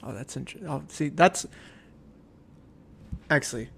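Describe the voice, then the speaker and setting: A man's voice: mid-range voice, expressive, moderate pace, gravelly, fairly bright tone, slightly rough. A young man hosting a casual comics podcast, laughing often and swearing freely. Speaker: podcast host. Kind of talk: casual chat.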